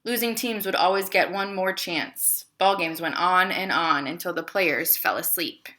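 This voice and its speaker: A woman playing a clever, self-justifying male emperor who tells his side of a children's storybook tale in an expressive, confiding way. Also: fairly low pitch, unhurried, noisy background, natural voice. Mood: confiding, exasperated. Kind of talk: children's storybook read-aloud.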